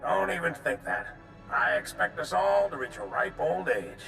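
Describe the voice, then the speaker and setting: Grizzled space general, gravelly, forceful, grave and commanding, roomy echo, game cutscene. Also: male voice, on the high side, expressive, moderate pace. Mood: grave, resolute. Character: resolute aging male Republic military general. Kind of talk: dramatic video game acting. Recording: roomy echo, faint music.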